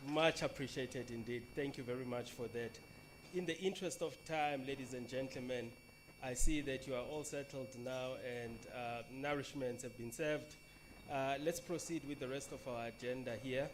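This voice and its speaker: A man's voice: mid-range voice, normal speed, slight background noise. A man serving as master of ceremonies at a formal event, introducing speakers courteously with frequent fillers.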